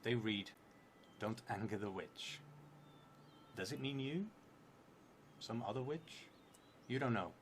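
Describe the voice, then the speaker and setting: A man in his thirties with a fairly low voice hosts a solo role-playing stream. He is unhurried and thinks aloud, dropping into murmured asides. The recording has clear background noise. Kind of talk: narrating a solo card game on a live stream. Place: indoor room at a theatre, at a table. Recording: noisy room with steady background noise.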